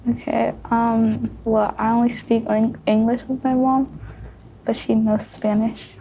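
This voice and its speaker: A young woman with a mellow, slightly high voice asks questions slowly, filling gaps with soft ums. Faint background hiss runs under her. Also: little pitch movement, vocal fry, poor audio. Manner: soft, hesitant, many ums. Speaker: student moderator asking questions. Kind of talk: casual panel discussion.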